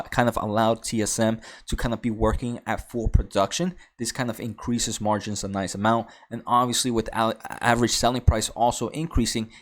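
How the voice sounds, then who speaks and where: Man, slightly low voice, online finance host, informal explanatory commentary, step-by-step delivery. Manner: informal, walks through numbered questions step by step. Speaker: host of a stock investing video channel.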